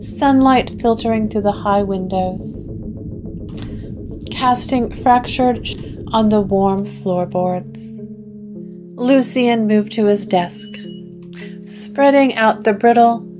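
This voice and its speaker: Woman narrator, gothic audio drama, slow deliberate delivery, guitar music underneath. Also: mid-range voice.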